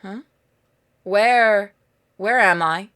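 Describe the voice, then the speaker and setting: A woman's voice: medium pitch, drawn-out words, a bit bright, clean tone. A woman voicing a bewildered, anxious trapped victim in a horror game, speaking in hesitant, confused questions. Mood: disoriented.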